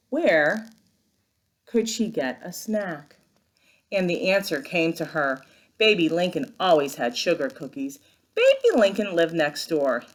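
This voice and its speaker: A woman teacher leads a read-aloud lesson for young children. Her voice sits a little low but moves widely in pitch, with an instructive, expressive storytelling delivery. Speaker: first-grade teacher.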